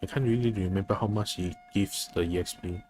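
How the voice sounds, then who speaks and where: A man's voice: deep voice, brisk, a little mellow. A man livestreaming a game, chatting casually with viewers and thinking aloud in a hesitant way. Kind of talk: casual chat with viewers during gameplay.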